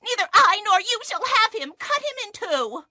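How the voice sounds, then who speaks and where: Shrill, spiteful young woman. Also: very animated, normal speed, bright, forceful tone, no echo, clean studio sound, exaggerated voice. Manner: shrill, defiant, biting. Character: spiteful, quarrelsome young woman arguing before a king. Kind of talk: dramatized scripture reading.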